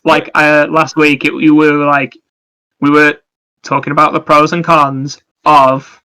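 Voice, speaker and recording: A man's voice: on the high side, flat delivery, unhurried, bright, forceful tone, clear, resonant tone. A man co-hosting a podcast, telling anecdotes and chatting casually in a wry, teasing way. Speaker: podcast co-host.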